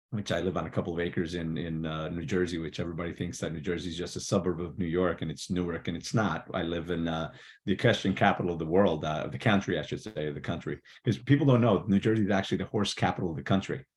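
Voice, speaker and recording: Low-voiced Portuguese-born man telling his story. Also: fairly even intonation, fast. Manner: rambling with many fillers and restarts. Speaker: podcast guest. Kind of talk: casual interview storytelling. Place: New Jersey.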